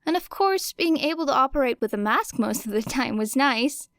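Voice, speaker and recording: A woman reading fiction aloud as an audiobook narrator, in an expressive storytelling style. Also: sits high, normal speed, dry recording, clean studio sound. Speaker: audiobook reader of fan fiction.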